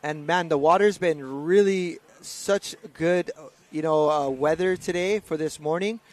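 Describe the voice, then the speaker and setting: A man doing live race commentary in a relaxed, hesitant way with many filler words. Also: a little high, unhurried, no room sound.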